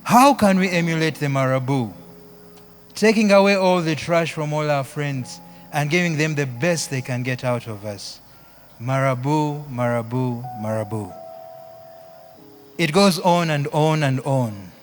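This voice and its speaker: Male poet-singer, Ugandan accent, high-pitched and unhurried, chanting theatrically over live music. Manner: chanting, repetitive, theatrical delivery. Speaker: spoken word performer, singer. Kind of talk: live spoken word poetry with singing.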